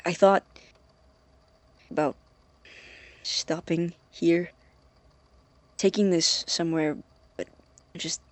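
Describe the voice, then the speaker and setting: Young obsessed scientist guy doing secret audio diary, formal n halting, dry close sound, audio drama. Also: on the high side, steady tone, dry recording. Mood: conflicted, driven. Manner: halting, deliberate, formal wording. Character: secretive, obsessive young male scientist recording experiment logs.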